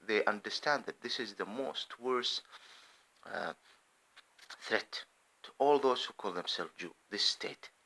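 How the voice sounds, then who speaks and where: A man's voice: fairly low pitch, expressive, slow pace, long pauses, pushed and bright. A man giving an impassioned, rambling unscripted monologue to camera. He often restarts his phrases. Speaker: video commentator.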